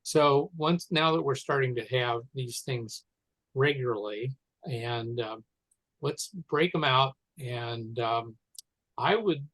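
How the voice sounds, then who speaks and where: Male meeting participant, medium pitch, deliberate stop-and-start phrasing, dry audio. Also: fairly bright tone, no room sound, rough recording. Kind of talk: informal meeting discussion. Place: online conference call.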